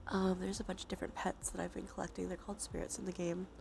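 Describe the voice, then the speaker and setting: A woman with a stuffy nose doing a cozy, gentle ASMR stream, chatting casually in a soft, breathy voice that often drops into whispers. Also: fairly low pitch, little pitch movement, fast talker, slight background noise. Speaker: livestreamer hosting an ASMR stream. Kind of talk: cozy ASMR, casual chat while gaming. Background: soft ambient soundscape.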